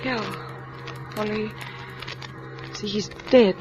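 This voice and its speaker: An actress playing a polite, shaken young widowed mother, speaking haltingly in a genteel 1940s radio style. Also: mid-range voice, fairly even intonation, a little mellow, lightly acted. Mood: subdued, grieving. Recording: muffled vintage radio recording with music.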